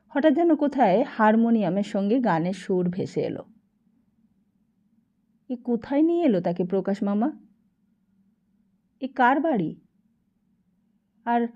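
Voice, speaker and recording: A woman reads a novel aloud as an expressive storyteller. Her voice is rather high yet soft-edged and mellow, and the recording sounds slightly dull. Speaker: audiobook narrator. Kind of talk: expressive literary story narration.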